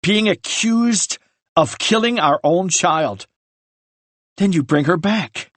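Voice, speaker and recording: An explosive, grief-stricken father, as a male narrator acts him out, his voice pushed high and loud in bitter accusation. The sound is close and dry. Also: unhurried, no room sound. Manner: shouting, bitter, seething. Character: furious grieving father, explosive. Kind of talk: audiobook character reading.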